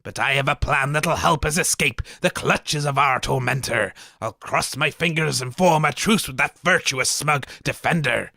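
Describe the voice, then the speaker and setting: A man's voice: medium pitch, moderate pace, creaky, hardly any pauses, pushed and bright, no room sound, clean studio sound, pitched below the performer's own voice. A man voicing an evil, scheming pirate captain in rhyming verse. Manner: rhyming villain speech.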